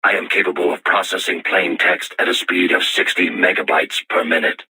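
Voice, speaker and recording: Very deep, robotic war machine. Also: male voice, flat delivery, sharp tone, breathy edge, heavily acted. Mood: solemn, formal. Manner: formal, measured, stilted machine-like diction. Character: solemn self-aware robot war machine, young but erudite. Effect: robotic voice processing. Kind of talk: scripted video game voice acting.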